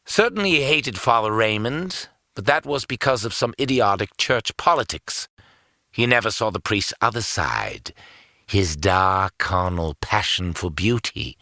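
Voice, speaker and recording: A man's voice: fairly low pitch, expressive, unhurried, sharp tone, no room sound, studio quality, heavily acted. An actor playing a sneering, cynical male villain in an audio drama, with a mocking, condescending, theatrical manner. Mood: mocking contempt.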